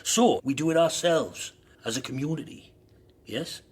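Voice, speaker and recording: Fiery socialist union bloke, husky, highish voice, blunt and persuasive, radio play. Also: male voice, animated, a little slow. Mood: forthright. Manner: forthright, rhetorical, persuasive. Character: blunt, fervent socialist Welsh miners' union man. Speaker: actor in radio drama. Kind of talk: radio drama acting.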